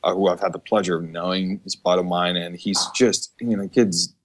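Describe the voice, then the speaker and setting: A man's voice: deep voice, fairly even intonation, fairly quick. A man from the United States, a musician guest in a casual interview, speaking earnestly and emphatically with some swearing.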